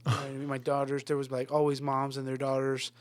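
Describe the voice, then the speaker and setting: A man's voice: mid-range voice, monotone, quick pace. A thirty-year-old man co-hosting a podcast, talking in a wry, rambling way with lots of swearing and frequent laughter. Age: 30s. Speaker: podcast co-host. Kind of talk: casual chat.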